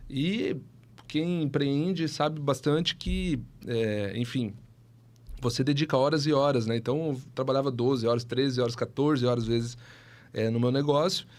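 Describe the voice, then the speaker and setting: A man's voice: medium pitch, a little slow. A man of about thirty, telling his story as a podcast guest in an explanatory, conversational way, often adding little tag questions. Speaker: guest, tech entrepreneur and CEO.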